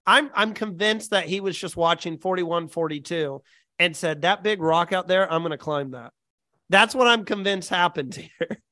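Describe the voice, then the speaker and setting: A man's voice: sits high, normal speed, bright, forceful tone, no echo, studio quality. A man hosting a podcast, chatting casually in an upbeat way and laughing often. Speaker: podcast host. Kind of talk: casual chat.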